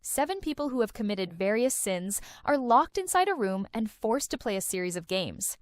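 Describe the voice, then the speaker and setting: A woman's voice: on the high side, sing-song, normal speed, dry recording, studio quality. A woman narrating a countdown of film and series recommendations in an enthusiastic, recommending tone. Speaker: video host. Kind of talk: scripted list-video narration.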